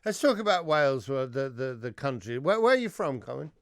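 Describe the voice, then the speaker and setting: A man from England hosting a radio interview and telling stories in a lively way. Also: mid-range voice, brisk, dry recording, clean studio sound. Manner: chatty storyteller, animated. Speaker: radio host.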